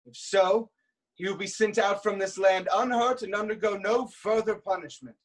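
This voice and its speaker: A man playing a proud, commanding king of ancient Thebes in a staged reading of Greek tragedy, declaiming deliberately. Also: high-pitched, fairly even intonation. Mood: stern, commanding.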